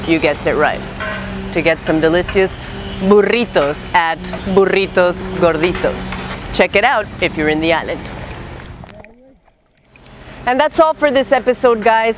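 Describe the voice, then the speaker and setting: A woman in her thirties presenting scripted news items as a show host, in casual, slangy language. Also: mid-range voice. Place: city street, outdoors. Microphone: lapel mic. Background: music.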